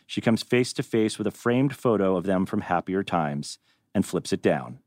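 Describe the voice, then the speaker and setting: A man's voice: sits low, animated, moderate pace, a little mellow, dry recording, clean studio sound. A man narrating a screenplay's stage directions at a table read. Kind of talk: animated script reading.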